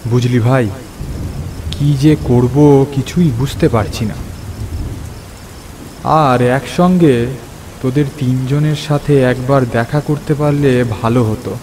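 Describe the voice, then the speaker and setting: A man playing a troubled, weary friend who is secretly a ghost, in a horror cartoon, speaking in a halting, ominous way. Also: mid-range voice, darkish tone, a little hiss or hum, natural voice. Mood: troubled, sombre. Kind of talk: cartoon horror story acting.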